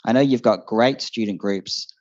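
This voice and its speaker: Young man, low voice, panel speaker, hesitant with fillers, muffled event recording. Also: normal speed, a little mellow. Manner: thoughtful, many fillers and restarts. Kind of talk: panel discussion answer. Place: seminar hall before an audience.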